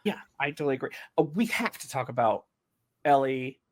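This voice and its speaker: A man's voice: medium pitch, expressive, brisk. A man chatting casually and effusively, with emphatic phrasing, as one of the writers on a podcast. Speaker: audio drama writer and podcast host. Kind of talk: casual chat.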